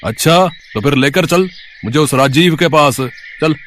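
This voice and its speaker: A man voicing a scornful village policeman in a storybook tale, gruff, bossy and sneering. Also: a little high, a little slow, background noise, voice pushed down, lightly acted. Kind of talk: acted storybook dialogue.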